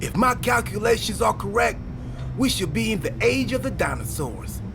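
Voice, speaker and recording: A goofy game-cutscene wrestler with a high, creaky voice. He is scatterbrained and excitable, delivering daft ideas in full earnest. His pitch swings widely, over faint background noise. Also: moderate pace, over music, exaggerated voice. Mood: excited. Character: excitable, goofy, scatterbrained male pro wrestler with a time machine. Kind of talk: scripted video game cutscene dialogue.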